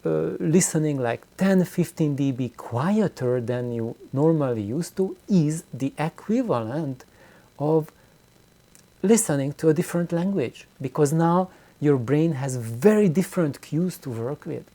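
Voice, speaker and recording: A middle-aged man giving an unscripted, reflective explanation to viewers, haltingly and with words repeated for emphasis. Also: on the high side, expressive, warm tone. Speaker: audio hobbyist video host.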